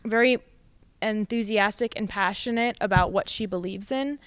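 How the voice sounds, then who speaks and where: A woman's voice: mid-range voice, normal speed, clean tone, no room sound. A young woman, a student actor, giving a casual answer in an interview. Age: young adult.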